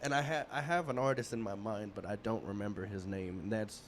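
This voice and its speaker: A man in his thirties hosting a podcast, chatting in a relaxed, rambling way in a casual interview. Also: fairly low pitch, fairly quick, slight background noise. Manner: relaxed, rambling, many restarts and fillers. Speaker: podcast host.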